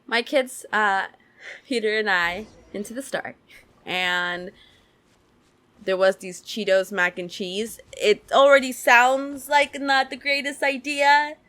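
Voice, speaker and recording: Slow-talking casual woman streamer. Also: on the high side, fairly bright tone. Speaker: game streamer. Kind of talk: casual chat over gameplay.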